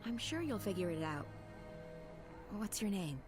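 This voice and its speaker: A woman's voice: medium pitch, sing-song, unhurried. A woman playing a jaded young resistance leader in a video game, curt, guarded and commanding. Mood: knowing, brisk. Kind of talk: video game cutscene acting. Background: background music.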